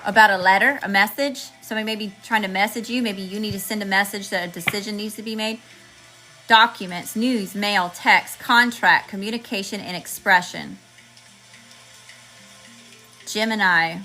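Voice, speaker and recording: A woman with a sharp, bright voice reads tarot for her viewers in a loose, chatty way. She rattles off card meanings one word at a time, her voice going hoarse, over faint music in the background. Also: medium pitch, steady tone, moderate pace, rough recording. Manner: chatty and slangy, addresses viewers as y'all, lists card meanings in word runs. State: losing her voice. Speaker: tarot reader channeling messages. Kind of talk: casual spiritual reading to viewers.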